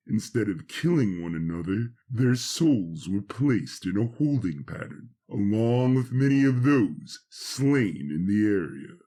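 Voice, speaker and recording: A man voicing a sinister, gloating sorcerer with a low, husky voice and a slow, taunting, grandiose delivery in a fantasy audio story. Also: dark tone.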